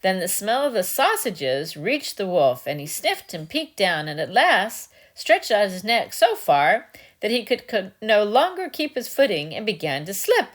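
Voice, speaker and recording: A woman's voice: medium pitch, sing-song, a little slow. A woman storyteller giving a dramatic scripted narration of a fairy tale.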